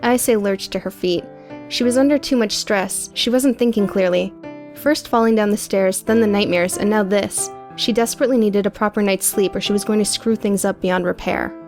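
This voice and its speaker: A woman narrates a prose story for an audiobook in a dramatic, scripted read. Her voice sits at an ordinary middle pitch, and soft music runs quietly underneath it. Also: steady tone, normal speed.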